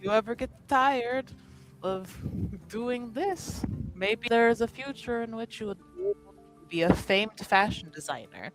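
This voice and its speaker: A woman voicing a gentle, earnest female character in a fantasy tabletop game, polite and soft-spoken. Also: fairly high pitch, lively intonation, a little slow, faint music behind, poor audio. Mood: admiring.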